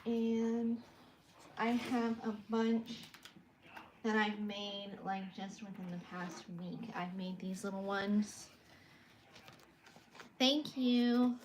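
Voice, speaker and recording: A soft-spoken young woman from California chats slowly and unhurriedly while she shows her paintings on a livestream. She sounds a little awkward and stays at a middling pitch as she reads out viewer comments. There is a faint hiss of noise behind her in her bedroom. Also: steady tone, rough recording. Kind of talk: casual livestream chat, answering viewer comments.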